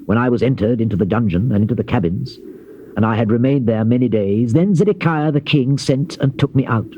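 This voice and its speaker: An actor playing a grave Old Testament prophet in a dramatized scripture reading, with solemn, declamatory and measured phrasing. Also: male voice, medium pitch, dark tone, lightly acted. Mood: solemn narration. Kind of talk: dramatized scripture reading, classical stage delivery. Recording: dull lo-fi old cassette, faint hiss.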